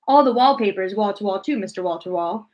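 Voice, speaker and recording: A woman's voice: medium pitch, animated, fast talker, muffled recording, lightly acted. A woman voicing a flustered, demanding male president from a storybook, full of exclaimed questions. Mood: official. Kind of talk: storybook read-aloud character voice.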